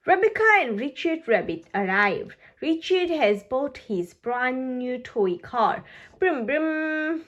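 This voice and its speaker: A woman reading a children's storybook aloud in an expressive, deliberate way for young listeners. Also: on the high side, highs cut off. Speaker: storybook reader.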